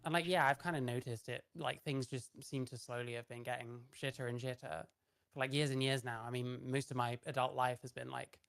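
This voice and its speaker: A young British man, a podcast guest, talking in a hoarse, laid-back and hesitant way, tired late at night. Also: medium pitch, steady tone, a little fast, fairly bright tone, no room sound. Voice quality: hoarse, losing voice. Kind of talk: casual chat.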